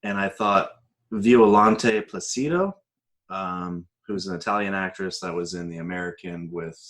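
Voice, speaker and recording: A man in casual podcast conversation as a panelist, speaking hesitantly and thinking out loud as he goes. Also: low-pitched, a little slow.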